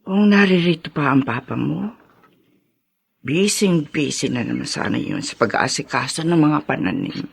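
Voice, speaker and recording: A tender, ailing mother in a radio drama. Her soft voice is husky and creaky, and it rises and falls widely with feeling. She speaks haltingly, like someone saving her strength. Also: sits low, animated, heavily acted. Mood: gently teasing. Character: ailing, tender adoptive mother, a widow, gently teasing.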